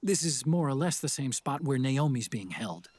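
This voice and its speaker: An actor playing a mild, earnest tech expert in a video game, explaining things in an American accent. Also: male voice, medium pitch, sing-song, normal speed, a little mellow, breathy edge, no room sound. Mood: earnest, advising. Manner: earnest, explanatory, slightly apologetic. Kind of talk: video game cutscene acting.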